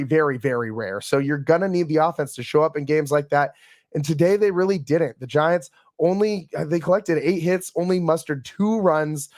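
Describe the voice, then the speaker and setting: A man with a raspy, worn voice hosts a casual sports podcast, chatting through a game recap with frequent fillers and restarts. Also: fairly high pitch, no room sound, studio quality. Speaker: podcast host.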